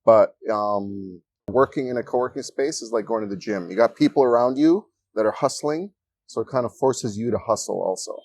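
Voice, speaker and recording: A man from Ecuador speaking as a guest in a casual interview in a coworking space, relaxed and joking as he tells stories. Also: mid-range voice, normal speed, dry recording. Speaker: guest, freelancer.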